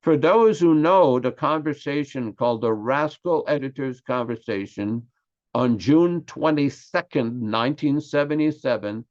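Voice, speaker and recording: Earnest older man with a Québécois French accent. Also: mid-range voice. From Quebec, Canada. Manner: earnest and emphatic, stressing key words slowly. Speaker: religious class speaker. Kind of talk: lecture on scripture. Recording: slightly dull lo-fi audio.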